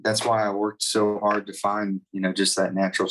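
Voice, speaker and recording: A man's voice: lowish voice, flat delivery, moderate pace, fairly bright tone, far from the mic, a little hiss or hum, poor audio. A man, a touring singer, talking casually in an interview with many fillers and restarts. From United States.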